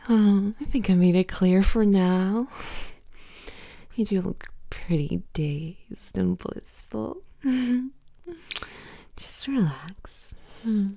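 A woman whispering softly and teasingly in a possessive, intimate audio roleplay, laughing often, close to the microphone. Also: medium pitch, very slow, long pauses, dry recording.

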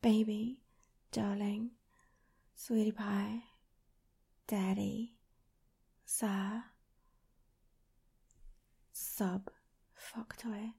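A young woman speaking an intimate monologue in a whispery, breathy voice, pleading and teasing close to the microphone. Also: mid-range voice, level tone, very slow, long pauses, dark tone. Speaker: audio performer.

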